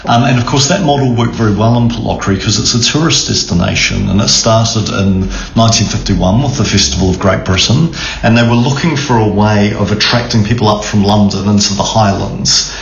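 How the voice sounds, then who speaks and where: A middle-aged man with a New Zealand accent, a theatre chief executive answering radio interview questions in a measured, thoughtful way with frequent ums and restarts. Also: on the low side, vocal fry. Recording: roomy, dull sound with faint background noise.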